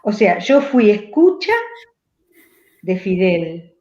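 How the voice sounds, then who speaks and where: A woman from Argentina whose was an Italian dialect, speaking thoughtfully as a writer being interviewed, with frequent pauses. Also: medium pitch, very animated, warm tone. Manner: thoughtful, pausing, restarts phrases. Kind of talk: reflective conversation. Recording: roomy, dull lo-fi video call audio.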